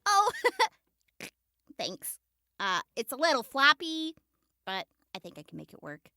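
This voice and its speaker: A woman's voice: on the high side, huge pitch swings, takes it slowly, bright, forceful tone, dry recording, studio quality, exaggerated voice. A woman playing a hesitant, agreeable young wasteland traveller in an audio drama, speaking informally. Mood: shy, agreeable. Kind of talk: post-apocalyptic audio drama acting.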